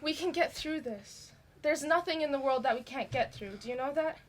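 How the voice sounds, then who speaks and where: A woman's voice: high voice, moderate pace, lightly acted. A young woman acting a caring but bossy student sister in an amateur stage play, earnest and insistent. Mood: reassuring. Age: teenager or young adult. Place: indoor stage performance. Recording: live stage recording, faint noise.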